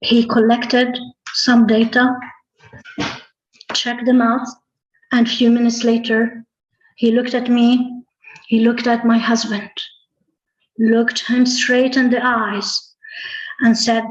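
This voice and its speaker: A woman telling a tall tale live, with deliberate, dramatic phrasing, pauses and repetition. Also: fairly high pitch, little pitch movement. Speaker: storyteller. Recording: roomy, echoey, slightly muffled online-call audio.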